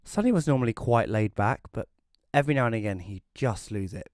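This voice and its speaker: A man in his thirties playing a glum, self-deprecating widower who narrates an audio drama in a dry, deadpan way. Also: lowish voice, fairly quick, no echo, lightly acted. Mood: wry, reflective. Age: 30s. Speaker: narrator and lead character. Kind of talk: first-person scripted narration in audio drama.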